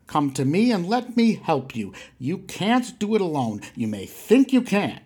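A man's voice: sits high, very animated, measured pace, dark tone, husky, full character voice. A man playing a boastful, exhorting 1920s mail-order muscleman, delivering a vintage advertisement. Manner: exhortatory, boastful huckster patter. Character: brash 1920s mail-order muscleman pitching body-building to weaklings.

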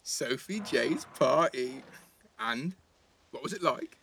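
A man's voice: mid-range voice, animated, slow pace, creaky, bright, forceful tone, slight background noise, full character voice. An actor playing a cheeky, blunt teenage boy with an English accent, teasing and slangy in a naturalistic audio drama. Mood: gleeful teasing.

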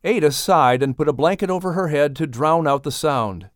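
An expressive woman reads a children's storybook in a close, dry recording. The delivery is animated, with a pitch that moves freely from phrase to phrase. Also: normal speed, no room sound. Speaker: audiobook narrator. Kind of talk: scripted children's storybook narration.

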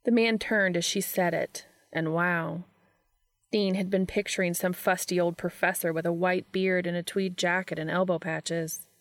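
Woman narrating, dry close sound, slight creak. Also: on the low side, fairly even intonation, moderate pace, no room sound. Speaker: fan fiction reader. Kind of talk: scripted audiobook narration.

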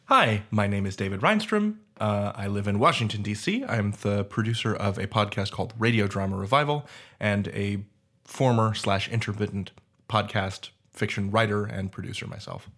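A man's voice: fairly low pitch, normal speed, a bit bright. A man who produces podcasts, giving an informal introduction of himself.

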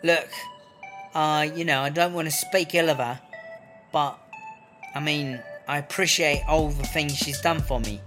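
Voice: mid-range voice, steady tone, measured pace, close to an everyday voice. A performer playing a weary, wry British woman inmate in a comedy audio drama, speaking colloquially and a little hesitantly. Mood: uneasy, exasperated. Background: music.

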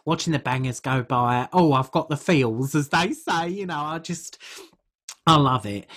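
A British woman playing an uncouth, food-obsessed podcast co-host, husky and rambling in colloquial, deadpan comic chat. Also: deep voice. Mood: gleeful, proud. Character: uncouth, food-obsessed woman telling absurd tales earnestly.

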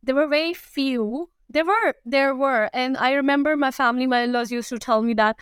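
Woman, 30s, Pakistani accent, hesitant, fillers, crisp dry sound. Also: high-pitched, moderate pace, a bit bright, no room sound. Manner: hesitant, many fillers and restarts. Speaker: guest, food blogger. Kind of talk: casual interview answers.

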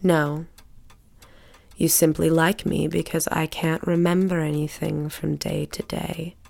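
A woman's voice: low voice, flat delivery, a little slow, gravelly, darkish tone, full character voice. A woman playing a bitter, forgetful bedridden housewife in an audio drama, sardonic and imperious, with a drawling delivery. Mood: scornful, weary.

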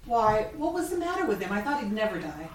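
A woman's voice: mid-range voice, very animated, fast, close to an everyday voice. A woman playing a nosy, gossiping Victorian business figure in a live dramatic reading. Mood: nosy, curious. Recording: distant, echoey, noisy room.

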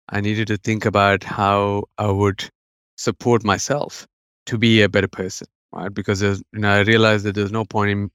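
A man's voice: lowish voice, fairly bright tone, clean tone, no room sound, clean studio sound. A middle-aged man with an Indian accent, raised in India, talking reflectively as a podcast guest about his background, with frequent restarts and "you know". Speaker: guest, mental health educator. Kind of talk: podcast interview.

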